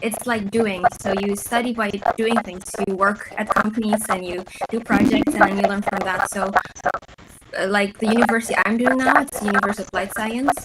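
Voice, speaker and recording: A woman's voice: medium pitch, steady tone, fairly quick, heavy background noise. A woman of twenty, raised in the Netherlands, chatting casually as an interview guest with many fillers and easy laughs. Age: 20s. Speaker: interviewee, university student. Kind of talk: casual interview over a call.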